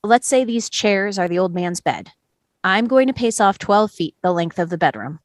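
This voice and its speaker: A woman plays a calm, persistent juror in a courtroom drama. Her mid-pitched voice is measured and explanatory, recorded close and dry. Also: no echo, lightly acted. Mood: reasoning. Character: calm, reasoning, persistent juror testing evidence. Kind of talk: play table read.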